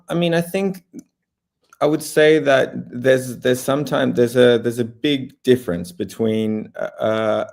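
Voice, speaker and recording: A young man with an Australian accent talks in a deep, even voice that barely rises or falls. He answers at length, stopping and restarting often and filling gaps with "uh". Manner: halting, many fillers and restarts. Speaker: journalist guest. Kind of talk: interview answers.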